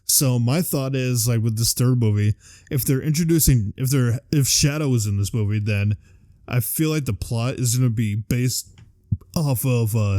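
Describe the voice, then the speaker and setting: A man co-hosting a casual conversation, speaking like an enthusiastic, animated fan who swears casually. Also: mid-range voice. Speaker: podcast co-host. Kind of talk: casual chat about video game movies.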